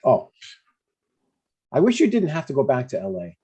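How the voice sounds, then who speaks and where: An older widowed father played by a man at a table read, earnest but blunt. His voice is husky and mellow, swinging freely in pitch as he haltingly gives advice. The recording is dull and lo-fi. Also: wildly swooping pitch. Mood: mild, reflective. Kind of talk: scripted dialogue, comedy table read. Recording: dull, lo-fi sound.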